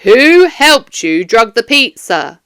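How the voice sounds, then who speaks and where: A woman voicing a stern police detective in an audiobook, questioning a suspect in a firm, formal, measured way. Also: a little high, sing-song, a bit bright, smooth, dry recording, heavily acted. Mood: stern, pressing.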